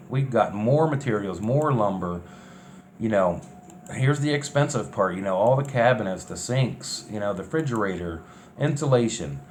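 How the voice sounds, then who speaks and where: A man giving casual, unscripted narration over DIY build footage in a folksy, colloquial way. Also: on the low side. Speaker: vlogger recapping a DIY bus conversion. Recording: clear steady background noise, ambience.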